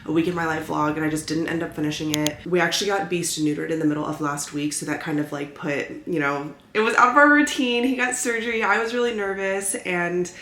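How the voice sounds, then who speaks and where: Young woman vlogging, stuffy and low-pitched. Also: brisk, few pauses, a bit bright. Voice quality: congested, stuffy from a cold. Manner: rambling, frequent use of "like". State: recovering from illness, stuffy. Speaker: lifestyle vlogger. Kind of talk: casual chatty vlog. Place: indoors at home. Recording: roomy echo with clear background noise.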